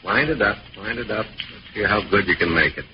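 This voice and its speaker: An actor playing a hard, impatient American police interrogator in an old radio crime drama, curt, suspicious and badgering. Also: male voice, lowish voice, fairly quick, natural voice. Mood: sardonic, pressing. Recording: muffled, noisy vintage radio recording.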